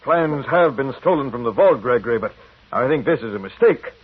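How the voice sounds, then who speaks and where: A man's voice: mid-range voice, lively intonation, a little fast, husky. An actor playing a loyal, dismayed businessman, earnestly protesting in 1940s American radio drama style. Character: dismayed, loyal businessman defending his old employee. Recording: tinny vintage radio broadcast.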